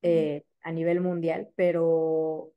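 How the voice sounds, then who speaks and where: A woman in her thirties from Mexico City with a Mexico City accent, a periodontist guest chatting casually and filling pauses with "este" and "eh". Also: low voice, level tone, clear, resonant tone, dull audio. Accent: Mexico City Spanish. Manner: warm, chatty, many fillers like "este" and "eh". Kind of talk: casual interview chat.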